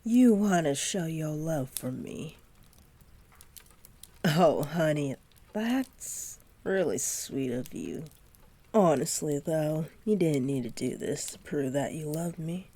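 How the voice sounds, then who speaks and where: A doting young centaur girlfriend, acted by a woman, speaks in a low, dark-toned voice at a slow, unhurried pace. She addresses her partner intimately, soft and affectionate with a gently teasing sweetness, as in a roleplay audio. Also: lively intonation, full character voice. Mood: touched, curious.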